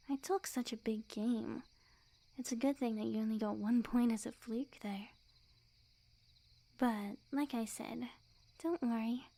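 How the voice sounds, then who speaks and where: A woman voicing a sweet, obsessively lovestruck, smug young witch in an intimate ASMR roleplay, speaking in a soft breathy near-whisper with quiet menace. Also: fairly high pitch. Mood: possessive, doting. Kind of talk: ASMR roleplay, intimate scripted monologue to the listener.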